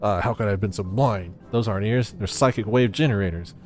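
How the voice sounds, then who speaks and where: A man's voice: on the low side, fast talker, close to an everyday voice. A man voicing a melodramatic, indignant male reporter, paranoid and blustering, from a video game. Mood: suspicious. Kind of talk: dramatic voicing of game dialogue.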